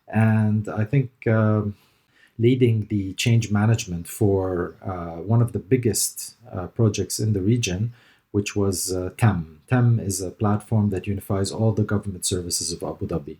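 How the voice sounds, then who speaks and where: Middle aged guy from lebanon, low voice, talks it through with lots of uhs. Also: unhurried, warm tone. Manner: thoughtful, hesitant with fillers and restarts. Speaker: interview guest, consultant. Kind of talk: conversational interview answers.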